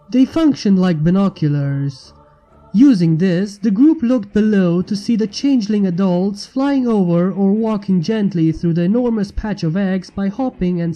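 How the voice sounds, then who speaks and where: A man's voice: high voice, dark tone, rough recording. A man reading a fan fiction story aloud as scripted narration. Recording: music bed behind the narration.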